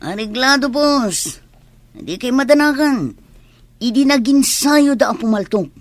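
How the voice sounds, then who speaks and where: A woman playing a sly, eager sidekick in a radio drama, persuasive and animated, with a Filipino accent. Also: sits high, measured pace, no echo. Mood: eager, reassuring.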